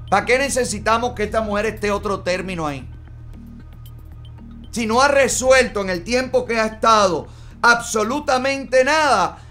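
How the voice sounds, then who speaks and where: A man's voice: high-pitched, sharp tone. A man in his forties with a Cuban accent, hosting a studio commentary show and asking indignant rhetorical questions. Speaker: host. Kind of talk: opinion commentary. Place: studio with yellow backdrop.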